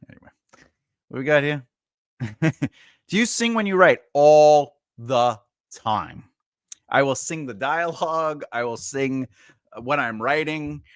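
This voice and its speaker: A man's voice: fairly high pitch, lively intonation, takes it slowly, pauses often, smooth, no echo, studio quality. A man in his forties, a writing teacher and editor, chatting casually and rambling with laughs during a live Q&A. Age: 40s.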